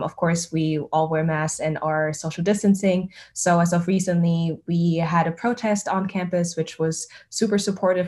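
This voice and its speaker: A young woman from Germany talks on a student webinar panel from a video call. Her voice sits a bit low, and she chats easily with lots of fillers. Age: young adult. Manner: chatty, many "like" and "um" fillers. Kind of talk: casual self-introduction.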